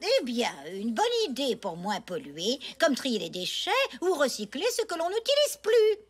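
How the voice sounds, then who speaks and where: Female schoolteacher, cartoon, stern and instructive, high voice, hugely animated intonation. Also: moderate pace, breathy edge, full character voice. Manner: authoritative, instructive. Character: stern, brisk female primary schoolteacher addressing her class. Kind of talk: animated comedy cartoon acting.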